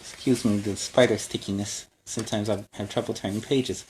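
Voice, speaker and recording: A man's voice: on the low side, fairly even intonation, brisk, darkish tone, a little hiss or hum, rough recording. A man hosting a children's story time, narrating a storybook with chatty asides. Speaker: children's story time host in superhero character.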